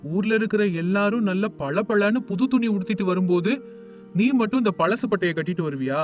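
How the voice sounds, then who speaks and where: An easygoing, proud village husband from an animated storybook, talking in a high, bright register, as a man acts him out. He chats casually and frets over what the neighbours think. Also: a little fast, soft background music, natural voice. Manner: easygoing, concerned with appearances.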